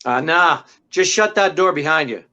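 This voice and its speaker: A man's voice: on the high side, expressive, normal speed, pushed and bright. A man playing a tough, wisecracking private detective in 1940s radio style, brusque, slangy and commanding, on a dull recording. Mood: tough, pressing. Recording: dull, band-limited sound.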